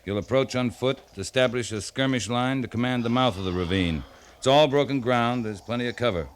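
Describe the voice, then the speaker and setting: An actor playing a seasoned, decisive American cavalry captain who gives clipped, authoritative orders in a 1950s radio western. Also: male voice, medium pitch, brisk, close to an everyday voice. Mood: firm, methodical. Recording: noisy 1950s radio broadcast recording.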